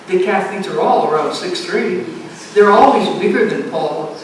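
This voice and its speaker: A woman in her nineties from the United States reminisces to an audience in a halting way, often repeating phrases. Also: lowish voice, expressive. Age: 90s. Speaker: speaker at a family art show. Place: community center event room. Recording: echoey, noisy room, distant microphone.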